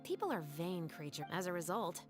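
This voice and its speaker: A woman's voice: on the low side, expressive, normal speed, close to an everyday voice. A woman voicing a dry, no-nonsense patrol captain in a video game, matter-of-fact in tone. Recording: game audio under music. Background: game music.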